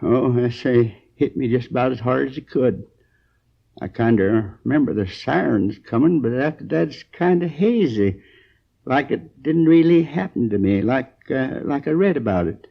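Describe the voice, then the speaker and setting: A gravelly, groggy old American storekeeper. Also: male voice, mid-range voice, lively intonation. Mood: groggy, hazy. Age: 60s. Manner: halting, rambling, folksy. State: groggy, in pain. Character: injured, drowsy elderly male storekeeper, rambling and earnest. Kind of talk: 1950s radio crime drama acting. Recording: dull old 1950s radio recording.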